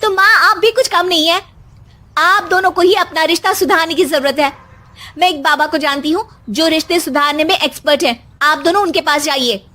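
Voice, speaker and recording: A woman's voice: very high-pitched, moderate pace, pushed and bright, pitched above the performer's own voice. A woman voicing an exasperated, bossy young married daughter, chiding and insistent in a comic family story. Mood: insistent advice.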